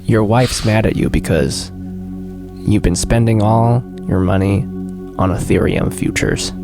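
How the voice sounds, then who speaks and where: A man's voice: fairly low pitch, a little slow, creaky, music in the background. A man co-hosting a comedy podcast in casual chat, joking, swearing and riffing into bits. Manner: joking, profane, riffs into bits. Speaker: podcast co-host.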